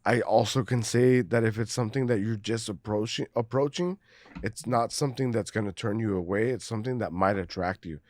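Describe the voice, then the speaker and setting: A man's voice: on the low side, a little fast, darkish tone. A man co-hosting a casual beer podcast, talking at length, swearing freely and laughing often. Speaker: podcast co-host. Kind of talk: casual chat, beer review.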